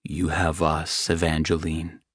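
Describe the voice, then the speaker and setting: A man voicing a protective young man who is gentle but swears freely. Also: measured pace, dry recording, studio quality. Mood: tender. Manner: low, gentle, coarse-talking.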